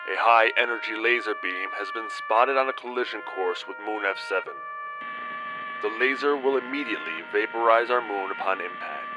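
Man as a low-voiced stately president. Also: natural voice, rough recording. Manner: stately, measured. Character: formal, courteous president delivering an emergency address. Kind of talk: scripted broadcast address. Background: loud brass music.

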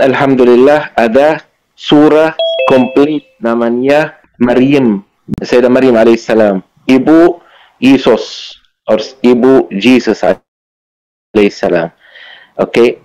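A man who is not a native Indonesian speaker, speaking haltingly in a religious debate and mixing broken Indonesian with English. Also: mid-range voice, darkish tone, poor audio. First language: not Indonesian, still learning it. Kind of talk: informal religious debate on video call. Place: online video call.

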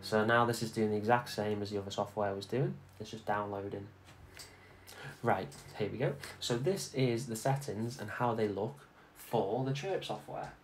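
Guy with a lowish voice walking through software steps, chatty and repetitive, noticeable background noise. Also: moderate pace. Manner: informal, repetitive step-by-step instructing. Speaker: tutorial host. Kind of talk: casual screen-recorded software tutorial.

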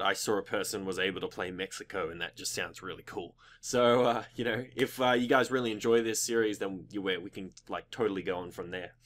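A young adult man with a fairly low voice that has a slight croak to it. He hosts a gaming video in a casual, chatty way, rambling through his thoughts with loose, informal and occasionally sweary phrasing. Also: a bit bright. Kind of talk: casual gameplay commentary.

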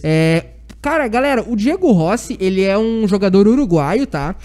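High-voiced southern Brazilian man hosting. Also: big pitch swings, normal speed, a little mellow. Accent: southern Brazilian, gaúcho. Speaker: radio sports host. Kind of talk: live sports radio chat.

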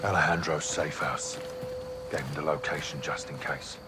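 A man playing a stoic, commanding special forces lieutenant in a military video game, gravelly and clipped in speech. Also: extremely low pitch, fairly even intonation, normal speed, heavy background noise. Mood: grave, resolute. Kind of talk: cinematic military game acting. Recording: music behind the voice. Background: music.

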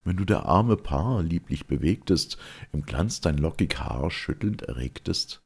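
A solemn chorus from classical drama, spoken by one male reader. The voice is low and gravelly, and the verse comes slowly and with measured gravity. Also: expressive, a little mellow, full character voice. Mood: admiring.

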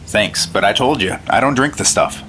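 An actor playing an eager, earnest FBI agent in a 1950s-style radio drama, with music underneath. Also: male voice, fairly low pitch, lively intonation, normal speed, fairly bright tone, slight background noise, rough recording. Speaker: Agent Cooper.

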